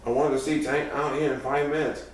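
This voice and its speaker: A man's voice: mid-range voice, steady tone, fairly quick, natural voice. A man voicing a hot-tempered, protective teenage big brother who snaps blunt threats in a storybook reading. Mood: angry, threatening. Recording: very echoey room, distant mic, faint noise.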